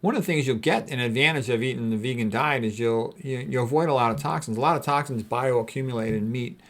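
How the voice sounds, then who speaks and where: A man's voice: mid-range voice, fast. Man giving a blunt, informal medical lecture. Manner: blunt, conversational, explanatory. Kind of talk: informal lecture, reading out and commenting on quotes.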